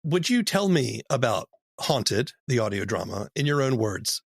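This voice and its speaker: A man's voice: medium pitch, sing-song, moderate pace, gravelly, husky, dry recording, studio quality. A man hosting a casual interview, asking questions and responding to his guests. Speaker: interviewer, host.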